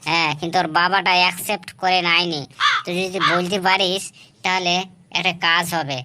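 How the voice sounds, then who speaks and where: A woman's voice: fairly low pitch, steady tone, brisk, sharp tone, a little hiss or hum. A woman voicing a conspiratorial young student friend with a coaxing, colloquial manner in a comic cartoon. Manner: colloquial, coaxing, checks that listener follows. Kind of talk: amateur comic cartoon voice acting.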